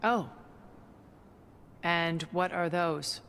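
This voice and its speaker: A woman playing a haughty, shrewd and sardonic ally in a video game, speaking in an arch, measured, condescending way. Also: on the low side, a bit bright, slight background noise, heavily acted. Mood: arch, superior. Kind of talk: cinematic video game acting.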